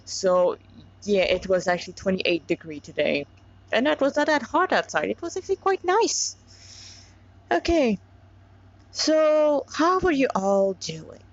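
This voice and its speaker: Slow-paced woman, dull lo-fi recording. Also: fairly high pitch, sing-song. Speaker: fan fiction author and reader. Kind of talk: casual chat and informal story reading.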